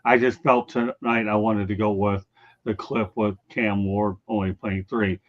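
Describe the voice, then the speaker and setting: A man's voice: fairly low pitch, fairly even intonation, a little slow, muffled recording. A man hosting a casual sports talk show, bluntly teasing and ranting at his co-hosts and viewers. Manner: blunt, teasing, addresses chat viewers by name.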